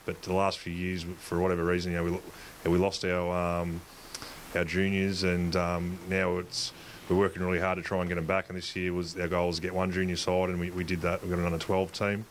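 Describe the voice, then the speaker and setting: A man, a football coach answering interview questions in a halting way, with many fillers and restarts. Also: deep voice, completely flat delivery, slight background noise. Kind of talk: post-match interview.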